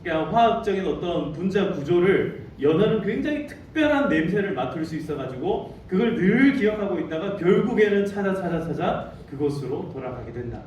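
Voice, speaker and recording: A man's voice: sits high, big pitch swings, a little fast, hardly any pauses, darkish tone, a little hiss or hum. A male pastor from Daegu preaching a Sunday sermon, telling a story and putting questions to the congregation. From Daegu, South Korea. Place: church sanctuary. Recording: echoey sanctuary, distant mic.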